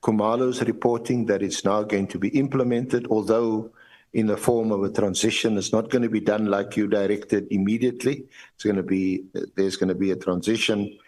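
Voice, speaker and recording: A man with a fairly low voice and a South African accent puts formal questions at a hearing. He is deferential in a lawyerly way, often repeating words as he works toward each point. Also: fairly even intonation, a little mellow. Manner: halting, repetitive phrasing with lawyerly deference. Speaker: evidence leader questioning a witness.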